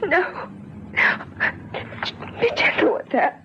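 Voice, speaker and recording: An actress playing a despairing young mother in an old radio drama, bitter yet gentle, speaking in an earnest, halting way. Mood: resolute, desperate. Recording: dull, faintly noisy old radio recording.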